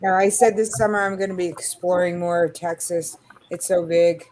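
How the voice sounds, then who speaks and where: A woman's voice: fairly low pitch, steady tone, normal speed, a little mellow, a little hiss or hum. A woman from New York with an American accent, chatting casually in a group. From New York, United States.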